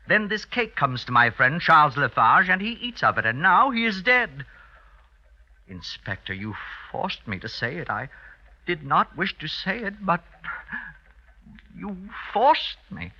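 A scheming, insinuating man feigning grief in an old radio drama. His voice is fairly high and swoops dramatically between false reluctance and accusation. The recording is muffled and dated. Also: very animated, measured pace, bright, forceful tone, full character voice. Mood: feigned reluctance. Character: Denis Barbier, scheming, falsely mournful male accuser.

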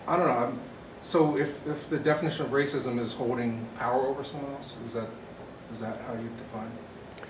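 A man's voice: medium pitch, fairly even intonation, fairly quick. A man working as an interviewer, asking unscripted questions in a hesitant, tentative way and rephrasing as he goes. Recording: echoey, distant mic, noisy background.

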